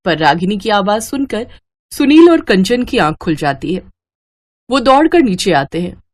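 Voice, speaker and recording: A woman reads scripted narration for an animated family story. She works as the storyteller, in a voice of middle pitch. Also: normal speed.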